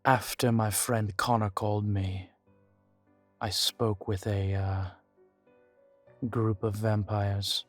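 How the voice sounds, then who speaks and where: Slow, low-key ancient vampire played by a man. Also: level tone, no echo, faint music behind. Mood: grave, measured. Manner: measured, deliberate, with dramatic pauses. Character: ancient male vampire, guarded, weary, grave. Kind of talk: scripted audio roleplay addressed to the listener.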